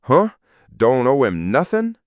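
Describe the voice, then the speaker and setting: A man's voice: mid-range voice, huge pitch swings, slow, dry recording, pitched above the performer's own voice. A man voicing a swaggering, insolent male traveler who goads others in an audiobook story. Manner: insolent, goading.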